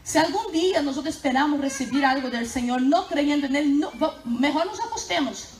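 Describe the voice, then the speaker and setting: A woman's voice: high voice, animated, a little fast, a little hiss or hum. A woman whose first language is Portuguese, preaching a sermon with emphatic bursts of fervor. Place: church hall. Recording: echoey church hall.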